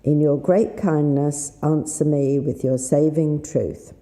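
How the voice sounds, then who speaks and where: A woman with a slightly husky voice, leading a synagogue service in a chatty, unhurried way with fillers. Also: deep voice, steady tone, mellow. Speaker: service leader. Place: synagogue sanctuary. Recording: roomy sanctuary sound with faint noise.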